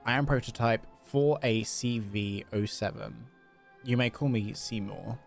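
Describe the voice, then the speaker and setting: A man voicing a polite, formal, flattering clockwork robot while reading game dialogue aloud. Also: medium pitch, measured pace, vocal fry, soft background music. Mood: courteous, formal. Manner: stiff, formal, deferential.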